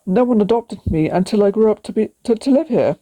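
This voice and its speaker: A woman voicing a gentle, lonely young dragon princess in a storybook, easily amazed. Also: medium pitch, lively intonation, fairly quick, dry recording, close to an everyday voice, rough recording. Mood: sad.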